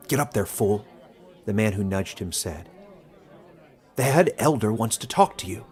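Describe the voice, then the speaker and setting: Low-pitched male fantasy storyteller. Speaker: storyteller, audiobook narrator. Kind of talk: scripted dramatic fantasy narration.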